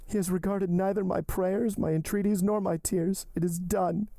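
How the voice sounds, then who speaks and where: A man's voice: sits high, fairly even intonation, moderate pace, vocal fry, mellow, no echo, voice pushed up. A man reading an audiobook, voicing a devoted young woman with a trembling, imploring delivery. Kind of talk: audiobook character voice.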